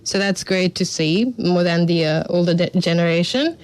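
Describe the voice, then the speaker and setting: A woman's voice: lowish voice, moderate pace. A woman, explaining her research steadily as a marine biologist guest on the radio. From Sri Lanka. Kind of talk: radio interview answers. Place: radio studio.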